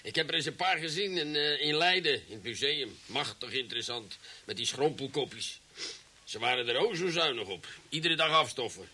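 Sharp, forceful police commissioner. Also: male voice, medium pitch, moderate pace, breathy edge. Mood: amused, mocking. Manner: blustering, sarcastic. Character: gruff, sneering male police commissioner. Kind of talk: Dutch radio drama acting.

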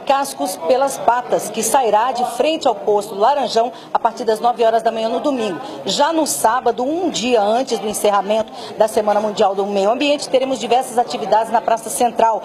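A woman's voice: fairly high pitch, moderate pace, few pauses, crowd noise. A woman in her fifties with a Brazilian accent, reading a formal news report into a handheld mic in an auditorium. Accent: Brazilian Portuguese. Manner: formal, announcing.

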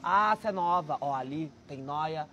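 A man's voice: on the high side, normal speed, sharp tone, highs cut off, a little hiss or hum, voice pushed down. A man playing a brash young hustler who talks in a cocky, pushy and slangy way. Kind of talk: comedy sketch.

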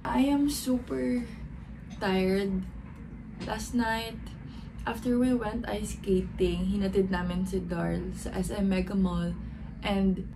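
A young Filipino woman in her early twenties with an accent, vlogging casually with a raspy, just-woken-up voice and a sleepy, relaxed manner. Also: mid-range voice. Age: early 20s. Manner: sleepy, relaxed, mixes in Tagalog. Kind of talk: casual vlog narration. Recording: distant mic with background noise.

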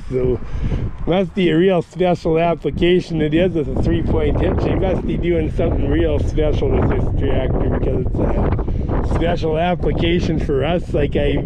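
A man's voice: on the high side, noisy background. A man giving plainspoken, folksy walkaround commentary on farm equipment, recorded outdoors on a handheld camera. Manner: folksy, plainspoken, fond of old machinery. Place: outdoors on an auction yard.

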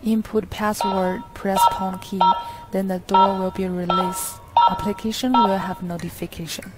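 A woman reading a scripted instructional product tutorial in a flat, even delivery. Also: mid-range voice, measured pace, heavy background noise.

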